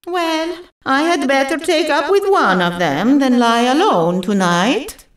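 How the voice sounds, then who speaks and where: A woman plays a wry, amorous governess in an audio farce. Her high voice takes its time, knowing and theatrical, in an echoey space. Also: lively intonation, slow pace. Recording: echoey, slightly distant.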